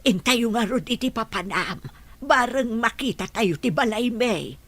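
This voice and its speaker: Lively, confused old grandmother, acted by a woman. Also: fairly high pitch, unhurried, slightly rough, no echo, exaggerated voice. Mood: insistent. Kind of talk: audio drama acting.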